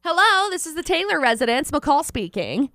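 A woman's voice: high voice, big pitch swings, normal speed, pushed and bright, no room sound, clean studio sound. A woman co-hosting a radio show in casual debate banter, emphatically repeating her points for stress.

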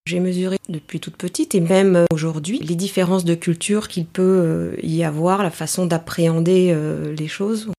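A woman's voice: medium pitch, dark tone. A middle-aged woman from Paris, thoughtful and articulate, answering as a guest in a reflective interview. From Paris, France, in a Greek family. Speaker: interview guest, executive coach.

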